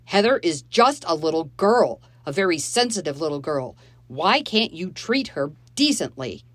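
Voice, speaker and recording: A woman reading the part of an angry stepfather who shouts and accuses two children, in a storybook reading. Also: deep voice, sing-song, normal speed, no room sound. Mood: shouting, accusing. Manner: loud, accusing, bitter.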